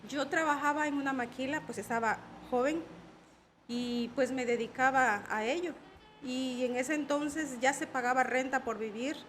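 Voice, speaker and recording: Salvadoran woman in her 40s, reflective activist guest, fairly high voice, faint music behind. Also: steady tone, normal speed, fairly bright tone. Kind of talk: personal testimony in interview.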